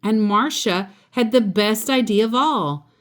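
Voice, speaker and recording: A woman reading a children's picture book aloud in an expressive, animated way, acting out the characters. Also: on the high side, measured pace. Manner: animated, acts out character voices.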